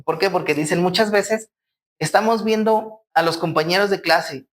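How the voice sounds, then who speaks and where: Mexican man in his thirties, higher-pitched. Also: moderate pace. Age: 30s. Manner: explanatory, uses everyday examples, chuckles. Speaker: guest doctor. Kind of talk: podcast interview conversation.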